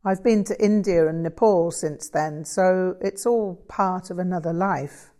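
A modest English musician and painter from a novel , voiced by a woman reader. He explains himself wryly and thoughtfully, always playing himself down, at a measured pace. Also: on the low side, warm tone, lightly acted. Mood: wistful, resigned. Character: Vic, self-deprecating English bluesman and painter, wry, thoughtful.

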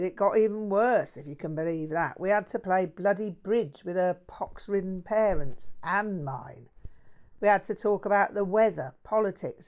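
A woman's voice: medium pitch, darkish tone, pitched above the performer's own voice, natural voice. A woman voicing a crude bloke. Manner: swearing, slangy, ranting. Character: crude brash working-class London man.

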